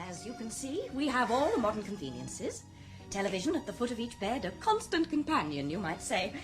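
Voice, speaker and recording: An actress playing a prim, officious hospital nurse in a radio drama, brisk and bossy. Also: female voice, fairly high pitch. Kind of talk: 1990s radio drama acting. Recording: radio drama with music underneath.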